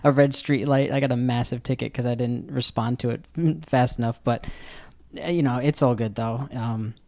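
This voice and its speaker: A man's voice: mid-range voice, steady tone, normal speed, darkish tone. A man hosting a travel podcast, chatting casually with a guest and often restarting his questions mid-sentence. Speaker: podcast host. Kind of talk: casual interview chat.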